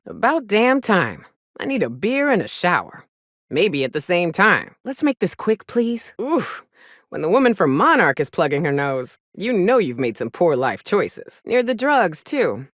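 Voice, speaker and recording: An actress voicing a wry, plain-spoken frontier huntress with a folksy, sardonic manner in a video game. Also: female voice, medium pitch, very animated, a little slow, no room sound, studio quality. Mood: disgusted, sardonic. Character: gruff, wry frontier woman, monster hunter and loyal companion. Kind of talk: video game companion dialogue.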